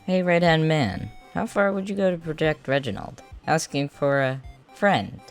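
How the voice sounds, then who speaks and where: Mid-pitched voice, theatrical, expressive, amateur comic dub acting, faint music behind. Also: normal speed. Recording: music under the voice.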